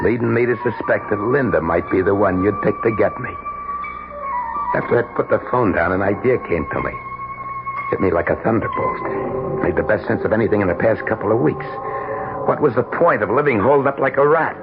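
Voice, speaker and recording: An actor playing a paranoid, hot-tempered small-time crook with slangy tough talk in an old-time radio crime drama. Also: male voice, lowish voice, wildly swooping pitch, brisk, loud music behind the voice. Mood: brooding. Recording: noisy, muffled old radio broadcast.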